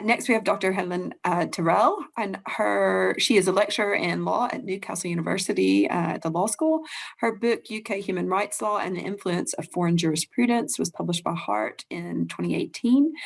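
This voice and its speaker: Low gravelly woman chairing a webinar. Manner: polite, measured introductions. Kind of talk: introducing speakers. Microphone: laptop microphone on video call.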